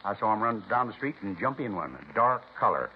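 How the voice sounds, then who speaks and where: Earnest, simple male butcher, deep folksy voice, plain-spoken, 1950s radio acting on a dull, hissy recording. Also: sing-song, brisk. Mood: helpful.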